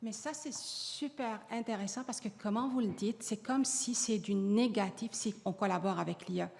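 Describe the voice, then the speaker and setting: A woman's voice: mid-range voice, moderate pace, creaky, darkish tone. Earnest woman panelist, Arabic native speaker. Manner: earnest, many restarts and fillers. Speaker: panelist on AI in education. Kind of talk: panel discussion answering audience. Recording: long hall reverb.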